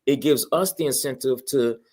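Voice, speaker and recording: A man's voice: mid-range voice, normal speed, a little mellow, husky. Emphatic man in casual, slangy chat. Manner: emphatic, slangy, repeatedly checking in with listeners. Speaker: guest promoting a toy radio station. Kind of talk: casual enthusiastic pitch chat.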